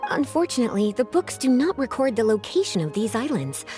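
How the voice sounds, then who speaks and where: A woman voicing a composed, flirtatious, bookish librarian in a video game, measured and teasing in manner. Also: a little high, very animated, warm tone. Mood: knowing, mysterious. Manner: teasing endearments, measured and articulate. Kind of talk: animated video game character acting. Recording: faint music behind the voice.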